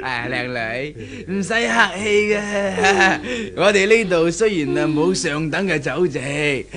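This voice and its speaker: An actor playing a clownish, lecherous vagrant kidnapper with a Hong Kong Cantonese accent, mock-polite and teasing in a 1970s radio drama. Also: male voice, fairly high pitch, expressive, slow, crowd noise. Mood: leering.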